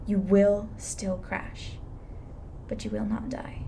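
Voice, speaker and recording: A woman playing a blunt, weary, reluctantly soft-hearted reaper in an intimate ASMR roleplay, dry and matter-of-fact. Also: medium pitch, level tone, slow pace, creaky, a little hiss or hum. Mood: firm, instructing.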